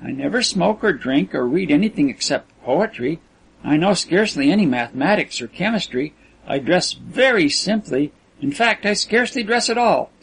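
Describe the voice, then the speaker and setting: A man's voice: fairly high pitch, lively intonation, normal speed, vocal fry, fairly bright tone, breathy edge, voice pushed up, heavily acted. A man voicing a sweet, naively vain rich teenage girl with earnest, artless chatter, reading from a story. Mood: earnest, insistent.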